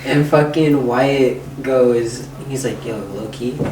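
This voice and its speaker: A man's voice: mid-range voice, takes it slowly. A man hosting a casual interview, joking in slangy, sometimes profane talk. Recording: roomy, distant mic, faint noise.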